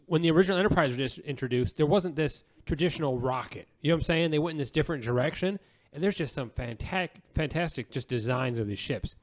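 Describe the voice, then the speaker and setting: A man with a slightly high voice co-hosts a podcast. His delivery is casual and conversational. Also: fast. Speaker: podcast host.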